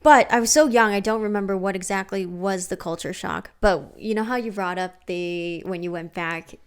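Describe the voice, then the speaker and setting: A woman's voice: medium pitch, moderate pace, clean tone. A woman in her twenties, from Korea, chatting casually as a podcast co-host. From Korea, moved to the US as a child. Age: 20s. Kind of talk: casual conversational podcast chat.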